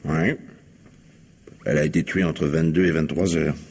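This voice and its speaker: A man playing a shrewd police commissioner in a French radio mystery in 1950s style, questioning briskly and insistently with pointed courtesy. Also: a bass voice, fairly even intonation, darkish tone, husky, exaggerated voice. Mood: confident deduction. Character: shrewd, probing middle-aged male police commissioner. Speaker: actor playing a detective.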